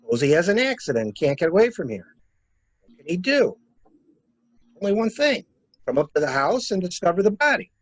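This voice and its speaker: A man playing a calculating murderer who poses as a helpful investigator, musing theatrically in measured phrases in an amateur mystery play. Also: high-pitched, big pitch swings. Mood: quietly triumphant. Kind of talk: amateur radio-play style mystery acting.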